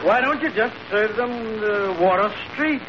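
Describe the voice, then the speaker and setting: A man's voice: high-pitched, animated, moderate pace, a loud crowd. An actor playing a wry, sardonic tavern waiter who needles his boss with dry, folksy wisecracks in a 1940s radio comedy. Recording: very noisy, muffled old radio broadcast.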